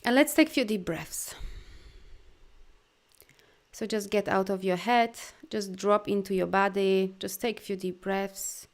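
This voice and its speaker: A woman with a Polish accent, a native Polish speaker, leading a live video talk as a life coach. She speaks in an animated, encouraging way with loose grammar and many "you know"s. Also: mid-range voice. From Poland. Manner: soft, slow guidance during breathing. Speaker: life coach and energy healer.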